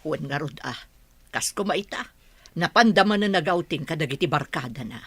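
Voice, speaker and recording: A woman's voice: sits low, expressive, brisk, slightly rough, no room sound, heavily acted. A woman playing a stern, worried mother with a scolding manner in a radio drama. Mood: troubled. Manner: scolding, forceful.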